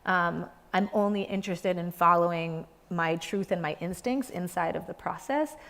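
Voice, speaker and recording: A woman's voice: on the low side, normal speed, gravelly, fairly bright tone. Earnest woman in a reverberant hall. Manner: earnest, searching for words. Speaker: dance company artistic director. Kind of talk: reflective interview talk.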